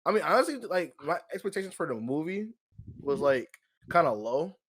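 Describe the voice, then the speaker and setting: A man co-hosting a podcast, talking casually and conversationally with lots of slang and animated opinions. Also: fairly high pitch, moderate pace, slightly rough. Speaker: podcast co-host. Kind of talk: casual movie discussion chat.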